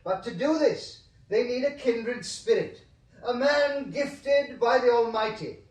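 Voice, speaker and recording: A man's voice: very high-pitched, takes it slowly. An actor playing a pompous Victorian showman professor who introduces a medium with grand theatrical announcing, in a radio drama. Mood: grandiose. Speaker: master of ceremonies at a seance. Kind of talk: 1980s radio drama acting. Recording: echoey stage sound from a distance.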